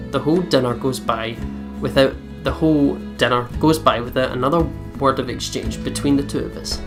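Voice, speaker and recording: A man co-hosting a let's play, reading a visual novel aloud and chatting casually about it. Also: medium pitch.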